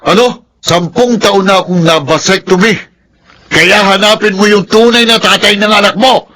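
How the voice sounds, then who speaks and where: Casting: hot-headed but remorseful womanizer, higher male register, dramatic radio serial style. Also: steady tone, moderate pace, bright, forceful tone. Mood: angry, scornful. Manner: emotional, dramatic delivery. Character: wayward womanizing man, hot-tempered yet remorseful. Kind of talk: Filipino radio melodrama acting.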